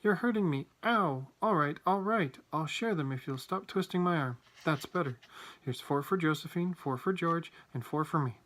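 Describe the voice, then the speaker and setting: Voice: medium pitch, normal speed, natural voice. Reader putting on a bossy, indignant old grandmother. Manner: loud, indignant.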